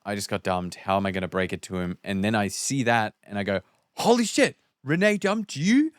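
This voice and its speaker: A man with a low, smooth and resonant voice in a dry recording. He talks casually through a script, explaining his choices and slipping into quick imitations of the characters' lines as he goes. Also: expressive, no echo. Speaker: podcast guest reading and breaking down scripts. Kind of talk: casual script discussion with acted-out example lines.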